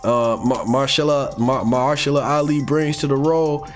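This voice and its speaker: A man's voice: medium pitch, steady tone, normal speed. Slangy American man rambling over music. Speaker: YouTube commentator on comics and animation. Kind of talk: casual unscripted fan commentary. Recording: music playing behind the voice. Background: background music.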